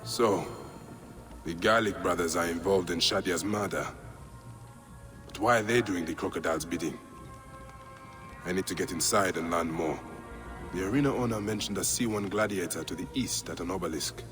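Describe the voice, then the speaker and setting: An actor playing a serious, guarded warrior on a quest, speaking in a measured way in a video game. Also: male voice, sits low, over music, close to an everyday voice. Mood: determined, pensive. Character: earnest, guarded warrior investigating a murder. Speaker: protagonist, Medjay warrior. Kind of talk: video game cutscene acting.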